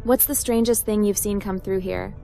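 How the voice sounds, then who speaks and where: A woman's voice: medium pitch, fairly even intonation, moderate pace, creaky, dark tone, faint music behind, close to an everyday voice. A woman voicing a cool, direct hacker captain in a video game roleplay, polite and businesslike. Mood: polite, curious.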